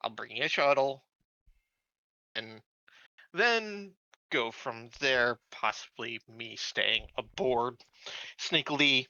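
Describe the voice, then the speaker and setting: A man playing a tabletop roleplaying game, thinking aloud in a casual, halting way as he suggests plans. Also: medium pitch, animated, bright, forceful tone, no room sound, lo-fi sound.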